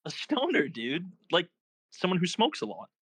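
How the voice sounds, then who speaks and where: A man's voice: high-pitched, normal speed, slightly rough, full character voice. A man playing an anxious, cautious young stoner sidekick in a comedy script read. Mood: excited. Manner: worried, animated, exclamatory. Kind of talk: comedy screenplay table read.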